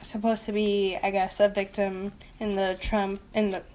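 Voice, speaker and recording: A woman co-hosting a casual podcast chat, speaking haltingly with plenty of "like" in her sentences. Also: medium pitch, level tone, a little mellow, slight background noise. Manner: halting, heavy use of "like". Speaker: podcast co-host.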